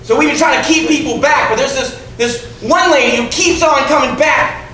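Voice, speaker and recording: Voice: high-pitched, normal speed, sharp tone. A performer playing a dim, eager, apologetic 1940s police officer in radio drama style, folksy and hesitant, repeating words. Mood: earnest, muddled. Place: improv theatre stage before an audience. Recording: echoey theatre stage, distant, faint noise.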